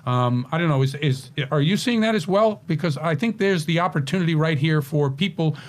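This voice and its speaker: Middle-aged male radio host, fairly high voice, chatty delivery with frequent "uh"s and restarts. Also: fairly quick. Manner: chatty, hesitant with many fillers. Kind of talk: casual radio interview.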